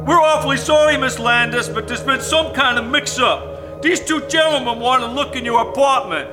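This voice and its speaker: A man's voice: extremely high pitch, moderate pace, over music. A man playing a defensive, reluctant working-class building caretaker in a live old-time radio suspense play, colloquial and protesting. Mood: apologetic.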